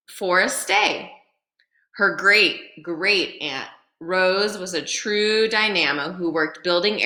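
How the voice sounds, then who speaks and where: A woman reads a children's picture book aloud in an echoey room. Her voice is bright and a little low, and she takes her time with expressive, deliberate phrasing. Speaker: storyteller reading aloud.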